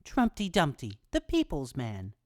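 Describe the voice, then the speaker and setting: A woman's voice: deep voice, wildly swooping pitch, a little slow, a little mellow, no room sound, studio quality. A woman narrating a rhyming storybook tale in a theatrical manner.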